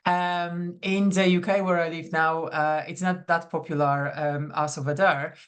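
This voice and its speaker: A woman's voice: sits low, fairly even intonation, measured pace. A woman from Poland, a coach leading a live online masterclass in a chatty, welcoming way over a video call. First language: Polish. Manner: welcoming, chatty, greets attendees by name. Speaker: psychonutrition coach.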